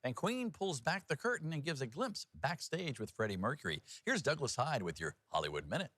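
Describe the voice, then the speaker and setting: A man's voice: medium pitch, big pitch swings, fairly quick, fairly bright tone, a little hiss or hum. A man anchoring TV news, moving between scripted reading and loose, joking banter. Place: TV news studio.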